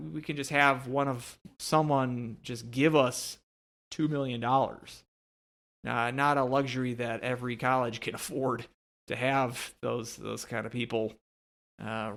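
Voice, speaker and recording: A man's voice: mid-range voice, a bit bright. A man co-hosting a sports podcast, talking casually about hockey in a hesitant, meandering way. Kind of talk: casual hockey commentary chat.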